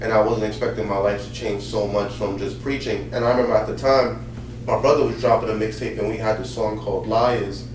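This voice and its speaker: Low-voiced New man, roomy and distant. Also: brisk. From Haiti. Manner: relaxed storytelling with many fillers. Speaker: podcast host and pastor. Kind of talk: casual chat. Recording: roomy sound from a distant mic with faint noise.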